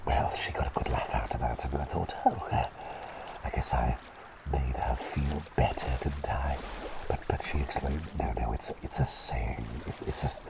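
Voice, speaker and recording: A man voicing a kindly, silly talking bird doctor in a whispered ASMR roleplay, speaking hesitantly and politely and softly repeating his phrases. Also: steady tone, normal speed, heavy background noise. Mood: bashful storytelling. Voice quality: breathy whisper with creaky low voiced moments.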